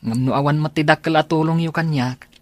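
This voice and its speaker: An actor playing a grateful, respectful young student grandson in a radio drama, with a Filipino accent. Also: male voice, a little high, steady tone, a little fast, darkish tone, dry recording, close to an everyday voice. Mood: earnest. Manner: respectful, earnest.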